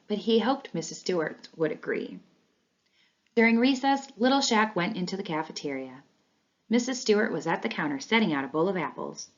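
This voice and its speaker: A woman reading a children's storybook aloud in an expressive, animated way. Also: on the low side. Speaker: read-along reader. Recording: dull, lo-fi sound.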